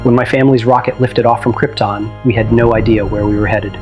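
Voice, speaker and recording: A man's voice: on the low side, fairly even intonation, fairly quick, natural voice, rough recording. A man playing a wistful, bitter survivor who gives grave, reflective narration of his lost homeworld in a motion comic. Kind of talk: dramatic scripted first-person narration. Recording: loud music over narration.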